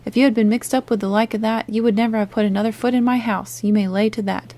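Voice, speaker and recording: A woman voicing a hearty one-legged male pirate innkeeper who blusters loudly in sailor slang, read as an audiobook character. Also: on the high side, fairly even intonation, fast talker, natural voice. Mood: scolding.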